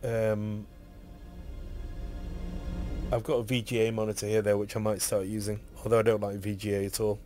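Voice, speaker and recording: Husky, coughing man streaming a game. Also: lowish voice, level tone, normal speed, pauses often, dark tone, faint music behind. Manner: rambling, muttering, reads in-game text aloud. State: hoarse with a cough. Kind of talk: casual streaming commentary.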